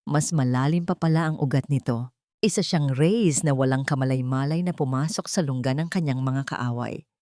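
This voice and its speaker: A woman storyteller reading a scripted romance drama in a measured, dramatic way with deliberate pauses. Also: a bass voice, warm tone, no echo, clean studio sound. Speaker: storyteller narrator.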